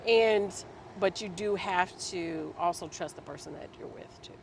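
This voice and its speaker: A host in a casual conversation, agreeable and quick to chime in with short affirmations. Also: mid-range voice, gravelly. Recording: outdoor street noise.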